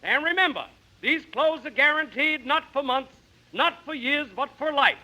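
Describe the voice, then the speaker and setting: An actor playing a cheerfully brazen clothing pitchman, with emphatic sales patter in a parody 1950s commercial. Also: moderate pace, pushed and bright, heavily acted, rough recording. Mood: brazenly salesy.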